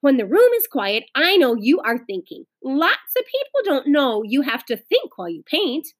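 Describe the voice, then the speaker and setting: Firm, instructive lady teacher from a children's story, acted by a woman reader, lively intonation. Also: high-pitched, normal speed, pitched above the performer's own voice. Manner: instructive, raised voice. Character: firm schoolteacher calming a class in a storybook.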